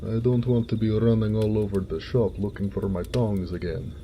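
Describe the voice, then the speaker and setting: A man voicing a gentle, hardworking orc blacksmith in an audio roleplay, weary and good-natured, musing to himself in a homely way. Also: lowish voice, steady tone, moderate pace, a little hiss or hum, lightly acted. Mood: content, musing.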